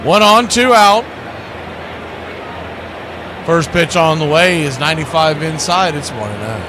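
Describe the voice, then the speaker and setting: A man's voice: on the high side, expressive, a little slow, background noise. A man calling live baseball play-by-play, conversational, with clipped calls and wry asides. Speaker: play-by-play radio announcer.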